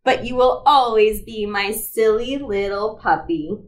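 Storybook little girl, read by a woman: affectionate, cheerful, expressive, high voice, slow clear phrasing for toddlers. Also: a little hiss or hum, close to an everyday voice. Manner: animated, expressive read-aloud delivery.

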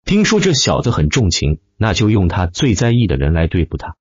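A man voicing a calm, scheming young nobleman villain, coolly menacing, in a fantasy audiobook. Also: sits low, brisk, slightly rough, dry recording, muffled recording, exaggerated voice.

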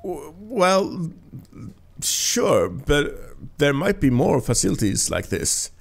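A man's voice: a little high, sing-song, takes it slowly, vocal fry. A man from Sweden with a Swedish accent, giving casual, slightly rambling commentary as he plays a video game. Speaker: gameplay host. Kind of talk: casual let's play commentary.

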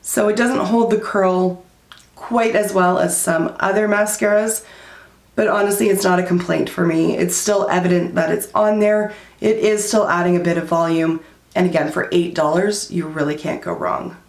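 Chatty woman with a gravelly, fading voice. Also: lowish voice, fairly even intonation, moderate pace, reverberant. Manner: chatty and candid, many likes and fillers. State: losing her voice. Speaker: beauty vlogger. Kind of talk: casual product review. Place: small room at home, indoors.

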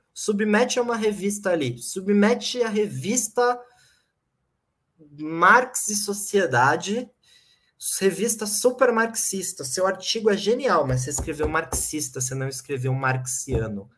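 Husky Brazilian man lecturing online. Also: sits high, a little slow. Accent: Brazilian Portuguese. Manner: lively, ironic, explains and jokes with the audience. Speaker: philosophy lecturer and channel host. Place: home room with bookshelves.

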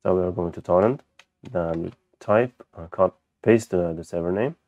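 A man who speaks as a gives an unscripted software walkthrough, haltingly thinking aloud as he demonstrates. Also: low-pitched, no room sound. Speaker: software tutorial presenter. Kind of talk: unscripted screen-recorded tutorial walkthrough. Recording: dull, lo-fi close microphone.

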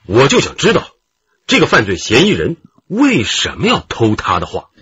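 An actor playing a genial, modest middle-aged detective chief who reminisces in a chatty, deliberate way in a radio drama. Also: male voice, medium pitch, wildly swooping pitch, a bit bright, slightly rough, full character voice. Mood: animated recounting. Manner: chatty, deliberate, with drawn-out interjections. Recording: muffled, dull radio drama recording.

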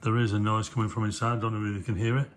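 A man giving casual, hands-on commentary in short, unhurried remarks as he works on equipment. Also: fairly low pitch, level tone. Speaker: host of a hands-on vintage electronics video. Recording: clear background noise with clicking.

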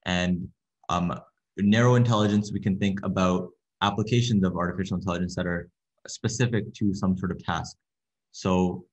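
Soft-spoken young man on a low-fi video call. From Canada. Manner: soft-spoken, hesitant, many fillers and repeated words. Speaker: workshop presenter. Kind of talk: online workshop lecture. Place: small room with a window, indoors. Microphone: laptop webcam on a video call. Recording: dull lo-fi video call audio.

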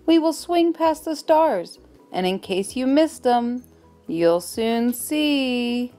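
Unhurried woman reading a storybook. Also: fairly high pitch, lively intonation, warm tone. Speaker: storybook reader. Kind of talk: read-aloud of a rhyming children's book. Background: faint music.

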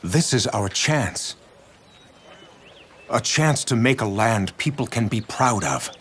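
A man playing a brooding, idealistic warlord in a video game, speaking gravely and deliberately. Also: medium pitch, animated, fairly bright tone, heavily acted. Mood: grave resolve. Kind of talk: dubbed video game cutscene acting.

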